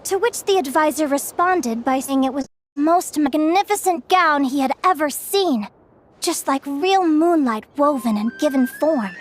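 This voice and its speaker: An actress playing a gentle, scholarly young woman in a game, telling stories in a measured, articulate and enthusiastic way. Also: high-pitched, lively intonation. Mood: storytelling, composed. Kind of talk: storytelling in an English game dub.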